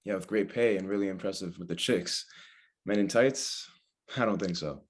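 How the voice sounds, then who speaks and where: A man's voice: deep voice, moderate pace. A man reading the part of an exasperated, wry young man scolding his pal in a script read.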